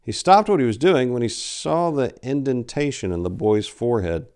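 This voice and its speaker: A man in his forties giving a theatrical, deliberate dramatic reading of a horror story, with emphatic swells and hushed drops. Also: mid-range voice, animated, clear, resonant tone. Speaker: author reading a short story. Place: indoor room lined with bookshelves. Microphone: lapel mic.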